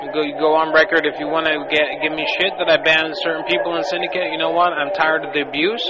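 A man's voice: fairly high pitch, flat delivery, fast talker, a loud crowd, heavy background noise. Profane, taunting man on lo-fi radio. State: tipsy from beer. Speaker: radio show host. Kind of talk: prank call and live show rant. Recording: lo-fi phone-line radio audio.

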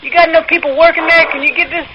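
A man's voice: very high-pitched, fairly even intonation, very fast. A man making a prank phone call, pushy, badgering and mock-indignant. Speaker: prank caller. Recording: muffled, noisy phone line.

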